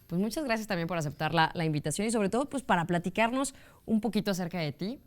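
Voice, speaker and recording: A woman's voice: medium pitch, lively intonation, fast talker. A woman hosting a television talk show, asking questions in an informal, colloquial way. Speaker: TV show host. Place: TV studio.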